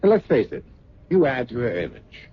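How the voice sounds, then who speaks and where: An actor playing a suave, candid escort in an old-time radio drama, smooth-talking, wry and breezy. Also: male voice, mid-range voice, huge pitch swings, normal speed, heavily acted. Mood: amused, reassuring. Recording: muffled, noisy vintage radio recording.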